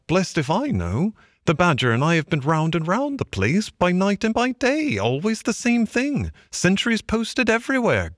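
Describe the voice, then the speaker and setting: Excitable, high squeaky little mole. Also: male voice, slightly rough, no echo, clean studio sound, full character voice. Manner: exclamatory, effusive. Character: excitable, eager, grumbling little male mole. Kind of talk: storybook character voice.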